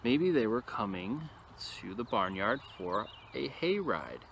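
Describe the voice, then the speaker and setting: A man reading a picture book aloud expressively to young listeners, with casual, quieter asides, recorded outdoors. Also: on the low side, big pitch swings, takes it slowly. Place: outdoors in an open field. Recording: noisy outdoor recording with ambient sound.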